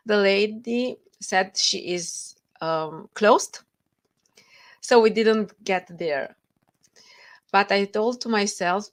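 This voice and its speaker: A woman with a Romanian accent, a guest author telling her story with earnest feeling in a podcast interview over a video call. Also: mid-range voice.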